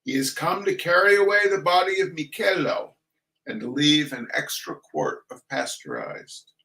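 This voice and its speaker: A man reading a comic literary piece aloud in a theatrical, mock-serious manner with dramatic pauses. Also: fairly high pitch, big pitch swings, pushed and bright, poor audio. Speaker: reader.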